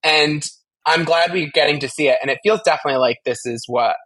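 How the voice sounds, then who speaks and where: A man's voice: fairly high pitch, fairly quick, fairly bright tone, low-quality recording. Opinionated man chatting as podcast co-host. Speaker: podcast co-host discussing a TV franchise. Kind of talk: casual fan discussion.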